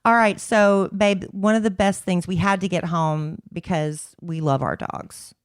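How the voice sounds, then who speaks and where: A woman's voice: fairly low pitch, steady tone, a little slow, clear, resonant tone. A middle-aged woman, co-hosting a podcast in a chatty, rambling way. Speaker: podcast co-host. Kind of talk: casual chat.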